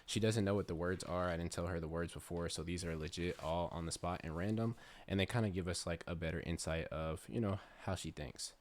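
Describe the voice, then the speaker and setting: A man with a very deep voice hosts a podcast interview. His talk is loose and easygoing, full of "um", "like" and "right?". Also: a little fast. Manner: relaxed, filler-heavy, lots of "right?" and "um". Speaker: podcast host. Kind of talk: casual interview hosting.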